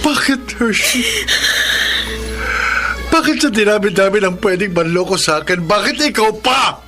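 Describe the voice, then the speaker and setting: A high-voiced young Filipino husband, wounded and bitter, pours out accusations and pleas in radio drama style. Prominent music plays underneath. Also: male voice, lively intonation, normal speed. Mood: bitter, anguished. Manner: emotional, accusing, pleading. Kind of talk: Philippine radio drama acting.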